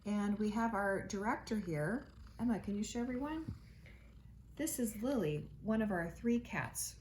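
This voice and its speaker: Animated woman reading a children's storybook. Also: mid-range voice, moderate pace, a little hiss or hum, poor audio. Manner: expressive, dramatic emphasis. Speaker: teacher reading aloud. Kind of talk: children's storybook read-aloud.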